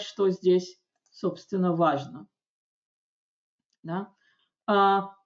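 Chatty woman teacher, slightly high voice. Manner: chatty, explains step by step with asides and "да?" checks; reads English examples slowly and distinctly. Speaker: English teacher. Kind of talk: online lesson explaining grammar. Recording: dull lo-fi audio.

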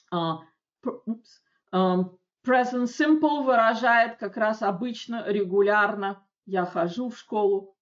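Woman language teacher, lightly raised pitch, chatty and explanatory, slow clear examples, muffled lo-fi recording. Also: fairly bright tone. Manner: chatty, explains step by step with asides and "да?" checks; reads English examples slowly and distinctly. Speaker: English teacher. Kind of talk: online lesson explaining grammar. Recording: dull lo-fi audio.

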